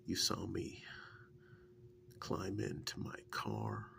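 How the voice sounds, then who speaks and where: Guy playing wry affectionate boyfriend in an asmr roleplay, super gravelly low soft voice, slow and musing. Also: very animated, slight background noise, exaggerated voice. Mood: fond, wistful. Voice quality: gravelly, breathy, often dropping to a whisper. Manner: halting, musing, unhurried. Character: wry, affectionate kidnap victim fondly reminiscing to partner. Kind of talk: soft-spoken intimate ASMR role-play.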